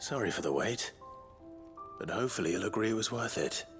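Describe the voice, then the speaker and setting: An actor playing a calm, considerate adventurer hero in a video game, with an understated, measured delivery. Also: male voice, on the low side, over music, close to an everyday voice. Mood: quietly amused. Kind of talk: video game cutscene acting.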